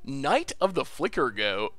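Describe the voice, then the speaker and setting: A man streaming and commenting on a card game in a casual, excitable way, laughing often, his voice a little congested. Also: medium pitch, slow, no echo, studio quality, faint music behind.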